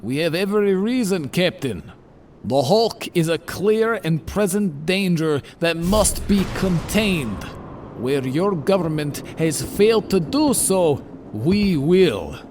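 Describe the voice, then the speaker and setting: A man playing a stern male superhero leader who makes formal, measured demands. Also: sits high, expressive, a little mellow, slight background noise. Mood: commanding. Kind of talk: fan audio drama acting. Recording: voice over music score.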